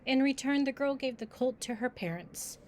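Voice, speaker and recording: Higher-voiced woman reading a storybook. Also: normal speed, a little mellow, slight background noise. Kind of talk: reading a picture book aloud.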